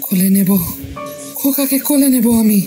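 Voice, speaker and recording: A woman's voice: on the high side, moderate pace, music in the background, lightly acted. A woman playing a crazed grieving mother. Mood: insistent. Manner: pleading, then fierce and hoarse. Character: grieving, obsessed mother at a séance, turning frenzied. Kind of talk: audio drama acting.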